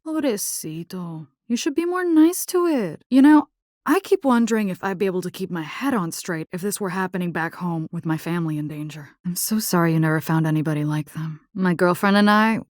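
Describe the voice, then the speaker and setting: A woman's voice: on the low side, a little mellow, dry recording, studio quality, lightly acted. A woman playing a spirited, caring young combat engineer in a video game, with a Latin American accent and informal, sassy talk that slips Spanish into English. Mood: earnest, concerned.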